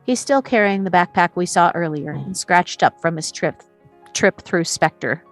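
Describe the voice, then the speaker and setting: A woman's voice: lowish voice, a little slow, soft background music. A woman reading stage directions as the narrator of a screenplay.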